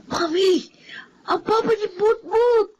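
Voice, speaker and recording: A woman's voice: very high voice, slow pace, a little mellow, a little hiss or hum, rough recording. A woman with a Filipino accent voicing a polite, inquisitive little schoolchild in a radio drama. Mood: curious. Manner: polite, childlike.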